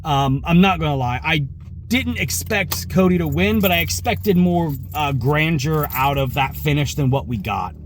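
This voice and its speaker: A slightly hoarse man hosting a reaction vlog, talking casually to viewers in a rambling, conversational way with asides and self-corrections. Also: fairly high pitch, lively intonation, moderate pace, a little hiss or hum. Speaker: wrestling reaction show host. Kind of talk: casual opinion commentary to viewers.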